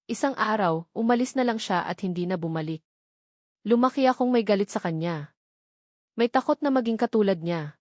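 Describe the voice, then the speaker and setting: A woman's voice: medium pitch, expressive, normal speed, pauses often, darkish tone, no room sound, close to an everyday voice. Remorseful Filipino man in love read by a female narrator, earnest and pleading, storybook romance, dry close sound. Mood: pained confession. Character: remorseful, tender adult man confessing his love.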